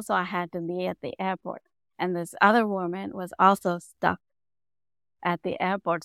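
A middle-aged woman from Sri Lanka with a Sri Lankan accent, a native Tamil speaker, telling her story as an interview guest and growing animated as she goes. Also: clear, resonant tone, no echo. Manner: animated, rising in pitch and volume when telling a story. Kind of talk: conversational storytelling.

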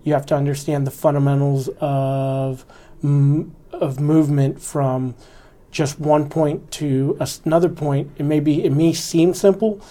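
A man's voice: fairly high pitch, steady tone, a little slow, dark tone. A man in his forties, an army officer giving an interview, talking earnestly and instructively about the lessons he has learned. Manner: earnest, instructive, stresses fundamentals. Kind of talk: reflective interview talk.

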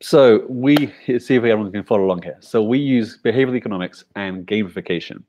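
British startup founder, deep voice, brisk and eager explainer, dry video-call audio. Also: male voice, a little mellow, dry recording. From Britain. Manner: explains eagerly with rhetorical "right?" checks. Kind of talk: casual video call interview.

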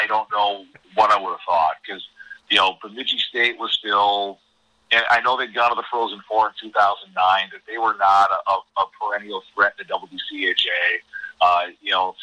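A low-voiced American man talks through college sports as a guest analyst. His delivery is very bright and forceful, earnest and emphatic, with words often repeated as he builds a point. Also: low-quality recording. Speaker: college hockey commentator as podcast guest.

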